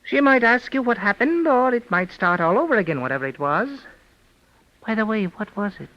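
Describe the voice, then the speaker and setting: An actor playing a cynical, wisecracking doctor, blunt and dry, in a 1940s radio mystery. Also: male voice, sits high, sing-song, fairly quick. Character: cynical, sardonic male doctor, detective's friend. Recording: dull, lo-fi vintage radio recording.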